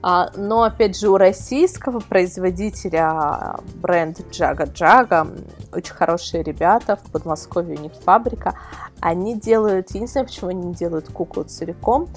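A woman's voice: fairly low pitch, moderate pace, soft background music. A woman speaking as an expert guest on a casual podcast, explaining things in a chatty way. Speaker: guest, magazine-style portal editor. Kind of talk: casual podcast interview answers.

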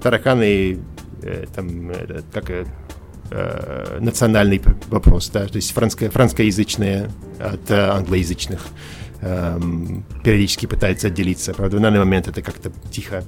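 A man in his forties from Russia joins a podcast by call. His low voice has a gravelly edge. He talks in a halting, thoughtful way, full of fillers and restarts. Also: a little mellow, faint music behind. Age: 40s. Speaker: podcast guest. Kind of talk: casual podcast interview over a call.

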